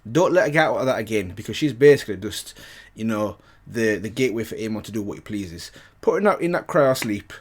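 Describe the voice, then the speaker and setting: A man hosting a reaction video, talking casually and excitably. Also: fairly low pitch, fast talker, hardly any pauses. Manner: animated, excitable.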